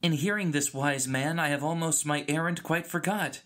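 A man's voice: a little high, moderate pace, a bit bright, natural voice. A man playing a small plucky robot in a Shakespearean drama, speaking theatrically and imitating beeps. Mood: musing.

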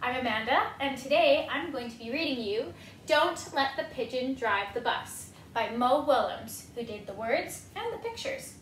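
A woman's voice: high-pitched, expressive, normal speed, a bit bright. A woman in her twenties reading a picture book to children, encouraging them and asking questions with exaggerated expression. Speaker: storyteller. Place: living room, indoors. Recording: roomy home recording, some noise.

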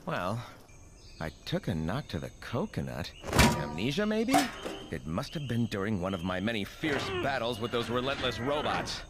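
An actor playing a dazed, hesitant superhero in an animated video game, halting and uncertain, with a quaintly folksy manner. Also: male voice, on the low side, heavy background noise. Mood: dazed, agreeable. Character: dazed, hesitant male superhero with muddled memory. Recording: loud music under dialogue. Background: loud music.

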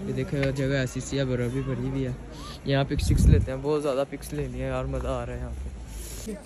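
A young man from Pakistan, chatting excitedly as he walks through a busy snowy street, recorded on a handheld phone. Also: medium pitch, a little fast. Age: young adult. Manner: chatty, excitable, talks while walking. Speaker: vlogger. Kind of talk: casual travel vlog. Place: busy snowy hill-town street outdoors. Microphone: phone held at arm's length. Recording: loud crowd noise outdoors. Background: crowd chatter.

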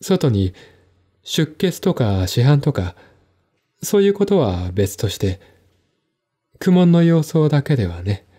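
A man playing a composed, articulate man in a one-man audio drama, explaining things in a measured, reflective way. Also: medium pitch, very animated, warm tone, breathy edge, exaggerated voice. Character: composed, articulate man recounting his stepmother's death.

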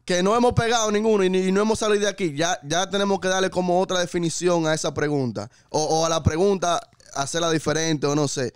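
Male podcast guest, Dominican accent, clear resonant mid-range voice, assertive and conversational, dry close mic. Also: high-pitched, steady tone, quick pace, hardly any pauses, dry recording. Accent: Dominican Spanish. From New Jersey, United States. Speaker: podcast guest, music artist. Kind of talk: casual podcast debate. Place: small indoor podcast studio. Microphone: close studio microphone on boom arm.

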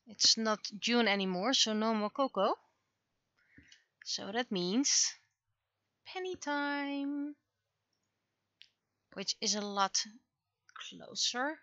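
Slow-paced, bright-voiced woman, casual and scattered. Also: fairly high pitch, vocal fry, no room sound, lo-fi sound. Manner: rambling, self-interrupting, scattered. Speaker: livestreamer, webcomic artist. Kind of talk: casual livestream chat while drawing. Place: dry indoor room, livestream setup.

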